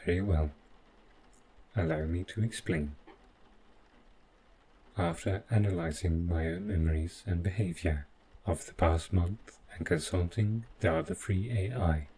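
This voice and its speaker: A devoted, quietly possessive robot butler, played by a man in an audio drama. The voice is very low and mellow, and it moves very slowly in formal, precise, measured phrases. Noticeable background ambience sits behind it. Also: slight background noise. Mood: clinical, insistent. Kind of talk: scripted roleplay audio drama monologue.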